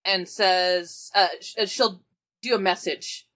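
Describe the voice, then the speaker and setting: Female tabletop player, medium pitch, informal, improvised narration, conversational. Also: fairly even intonation, slow pace, a bit bright. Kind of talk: casual improvised roleplay narration.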